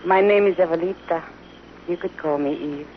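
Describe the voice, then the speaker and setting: A woman's voice: fairly low pitch, normal speed, natural voice. Woman playing a coy young frontier temptress. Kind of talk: 1950s radio western acting. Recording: dull, hissy old radio broadcast recording.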